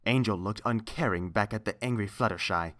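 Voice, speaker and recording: A woman's voice: rumbling low, brisk, fairly bright tone. A woman reading a scripted story aloud as the narrator.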